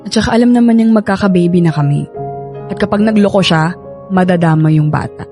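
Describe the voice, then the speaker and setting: An actress playing a gentle, trusting young pregnant woman in a Filipino radio drama, speaking affectionately with a Filipino accent. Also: fairly low pitch, fairly quick, close to an everyday voice. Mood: trusting, firm. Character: young pregnant live-in partner, sweet, trusting, caring. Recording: studio drama over background music.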